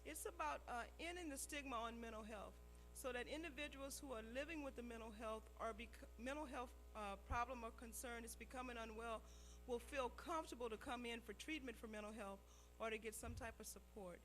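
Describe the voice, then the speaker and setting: A woman's voice: on the high side, fairly quick, a bit bright, slight background noise. Earnest woman addressing a council. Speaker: county behavioral health staff presenter. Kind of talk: public comment at council meeting.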